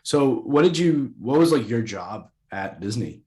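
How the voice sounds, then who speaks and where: A man's voice: medium pitch, very animated, measured pace, darkish tone. A man hosting a podcast, asking loose questions full of fillers. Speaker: podcast host. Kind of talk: casual interview chat.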